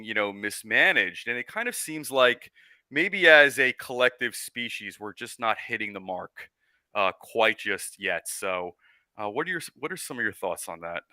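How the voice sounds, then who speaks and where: A man's voice: medium pitch, sharp tone, dry recording. A man hosting an interview podcast, talking in an upbeat way with lots of fillers. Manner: upbeat, uses many fillers. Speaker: podcast host. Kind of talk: conversational interview.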